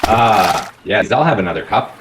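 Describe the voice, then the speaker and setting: A man playing a courteous, probing detective in a radio play, measured and theatrical. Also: on the low side, expressive, lightly acted. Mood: inquisitive. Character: probing, courteous male detective aboard a boat. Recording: echoey, faintly noisy room recording.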